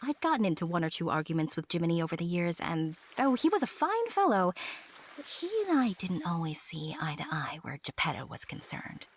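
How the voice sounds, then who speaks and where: A woman's voice: lowish voice, a little fast. A woman playing a small, proud winged secret agent who narrates an audio drama expressively and earnestly. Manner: expressive, self-important storytelling. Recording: music behind the voice.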